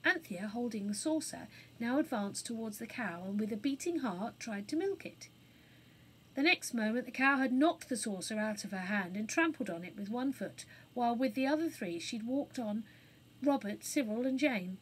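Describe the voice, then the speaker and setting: A woman reading a children's story aloud as an expressive storybook narrator. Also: on the high side, normal speed, a little hiss or hum, rough recording.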